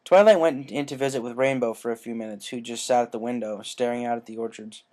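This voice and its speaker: A narrator reading scripted fan fiction aloud in an expressive storytelling style. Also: mid-range voice, fast.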